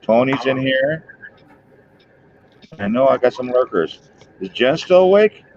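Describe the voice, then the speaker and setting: A man's voice: mid-range voice, animated, unhurried, highs cut off. A man in his fifties hosting a livestream, chatting loosely and joking with viewers. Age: 50s. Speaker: livestream host. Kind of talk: casual chat with viewers.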